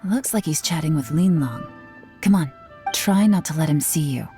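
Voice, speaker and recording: A woman as a low, mellow, shrewd investigator. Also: normal speed, soft background music, lightly acted. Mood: composed, thoughtful. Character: cool, shrewd woman investigator, composed and teasing. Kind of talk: video game voice acting.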